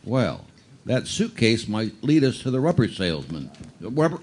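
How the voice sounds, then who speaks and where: A man playing an earnest, deadpan bus police investigator in a live radio drama parody, stumbling over some words. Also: mid-range voice, normal speed. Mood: deadpan, questioning. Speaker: amateur performer in a live radio play. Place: live stage performance aboard a cruise ship. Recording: noisy live room recording.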